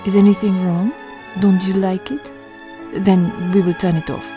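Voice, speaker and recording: A woman's voice: mid-range voice, level tone, unhurried, natural voice. A woman playing an anxious, polite young female attendant in old-time radio theatre style, in short, simple phrases. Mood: concerned, apologetic. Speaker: supporting actress in radio play. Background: music playing.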